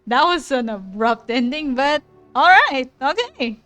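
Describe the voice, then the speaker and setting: A woman's voice: high voice, expressive, unhurried, a bit bright, faint music behind. A woman streaming a game, making chatty, amused comments as she plays. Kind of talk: casual live reactions.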